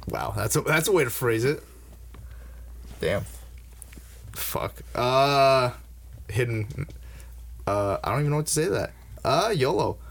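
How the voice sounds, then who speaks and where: A man's voice: medium pitch, expressive, unhurried, long pauses, fairly bright tone. A man in his early twenties hosting a casual podcast, rambling with fillers and swearing. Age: early 20s. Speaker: podcast host.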